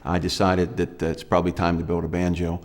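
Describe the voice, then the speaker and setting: Low flat-voiced guy in his 30s from pennsylvania, banjo builder, lots of uhs, a bit of room echo. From Lancaster, Pennsylvania. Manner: halting, many "uh" fillers. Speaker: banjo maker. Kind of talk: interview. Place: studio with dark backdrop.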